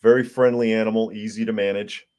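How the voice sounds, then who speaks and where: A man with a sore, hoarse throat who hosts a live podcast, reading viewer comments aloud in a plain-spoken, casual way. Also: on the low side, flat delivery, quick pace, a little mellow. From United States. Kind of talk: casual live-stream chat.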